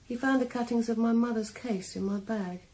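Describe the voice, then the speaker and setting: An actress playing a young woman killer calmly confessing her revenge in a 1980s radio drama. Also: a little high, close to an everyday voice, poor audio. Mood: cold confessing. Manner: calm, measured, quietly cold. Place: sauna.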